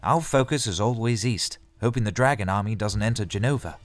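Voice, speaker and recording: A man's voice: fairly low pitch, a little fast, a little hiss or hum, close to an everyday voice. An actor playing Turum, an earnest male knight who keeps pressing with questions in an audio drama. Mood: realizing. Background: music.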